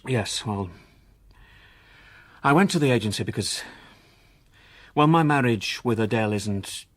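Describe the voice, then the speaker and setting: Guilty solicitor, hesitant, apologetic, husky, very varied pitch, radio drama. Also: male voice, expressive, unhurried. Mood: guilty, pleading. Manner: hesitant, faltering, flustered. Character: evasive, guilty male solicitor, meekly apologetic. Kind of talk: naturalistic radio drama acting.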